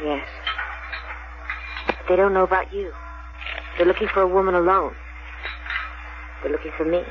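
A woman's voice: lowish voice, fairly even intonation, moderate pace, lightly acted. An actress playing a devoted young American woman on the run, telling her story earnestly in a radio drama. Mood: urgent, anxious. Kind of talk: 1970s radio drama narration and dialogue. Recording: muffled radio recording, loud music behind.

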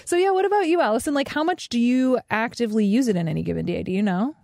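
A woman in her thirties hosts a relaxed podcast chat, warm and playful, with a close, dry sound. She drops quick reactions in between the others' lines. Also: on the high side, creaky, no echo. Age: 30s. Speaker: host. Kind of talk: casual chat.

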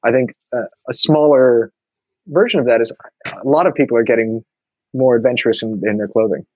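A man's voice: mid-range voice, fairly quick, slightly rough, no echo, highs cut off. A man, talking thoughtfully in a casual conversation with many restarts. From New York. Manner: reflective, many restarts and fillers.

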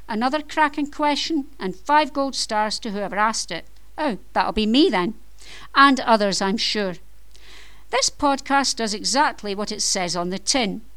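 A middle-aged woman with a Scottish accent and a slightly high-pitched voice hosts a podcast. She reads from a script in a bright, chatty manner and drops in playful jokes at her own expense. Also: smooth. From Scotland. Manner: upbeat and chatty, with playful self-deprecating asides. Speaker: podcast host and author.

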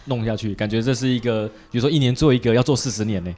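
A man's voice: medium pitch, very fast, a little mellow. A man with a Taiwanese Mandarin accent hosting a casual podcast interview in a playful, teasing way. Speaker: podcast host.

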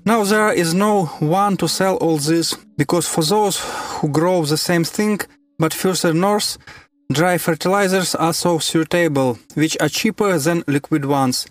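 A man's voice: on the high side, a little slow, dry recording. A man from Ukraine, a fertilizer producer, speaking in a plain, matter-of-fact way. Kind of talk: interview statement.

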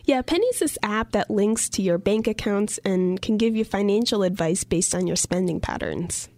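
A woman co-hosting a podcast, reading scripted narration in an even, conversational way. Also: medium pitch, moderate pace, no echo, clean studio sound. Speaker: podcast co-host.

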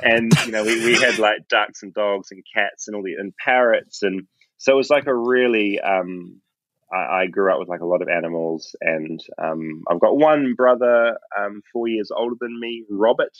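A very low-voiced man with a New Zealand accent talks warmly about his past in a casual interview. He is upbeat and pokes fun at himself. The audio is dull and lo-fi with a dead-dry sound. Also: steady tone, normal speed, no echo. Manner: enthusiastic, self-deprecating. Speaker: guest, film composer.

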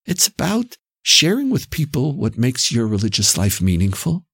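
This is a man with a Canadian accent and a husky, creaky voice that sits on the low side. He explains reflectively in conversation, swinging widely in pitch as he goes. He drives his points home emphatically, often repeating a phrase. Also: wildly swooping pitch, moderate pace, fairly bright tone, no echo, clean studio sound.

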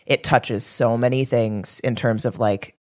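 A woman's voice: very deep voice, steady tone, measured pace, darkish tone. A woman co-hosting a casual health podcast, affirming her partner and adding her own thoughts in a supportive manner. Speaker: podcast co-host, health coach.

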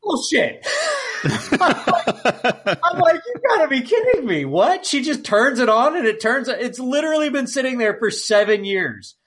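A man's voice: extremely high pitch, sing-song, measured pace, a bit bright. A man co-hosting a podcast, chatting casually about films in an exclamatory, incredulous way. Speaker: podcast co-host. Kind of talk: casual film discussion.

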